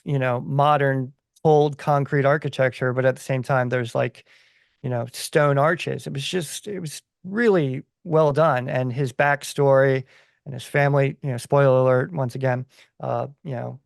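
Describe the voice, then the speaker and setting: A man co-hosting a podcast in casual discussion, explaining his opinions in a halting, conversational way. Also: mid-range voice, gravelly, no room sound, clean studio sound. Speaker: podcast co-host.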